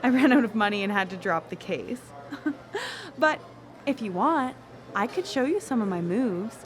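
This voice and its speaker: A woman's voice: fairly high pitch, expressive, moderate pace, slight background noise, lightly acted. An actress in a radio drama playing a blunt, seductive, worldly woman on a date. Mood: flirtatious. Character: brash, seductive woman, worldly former Vegas dancer on a date.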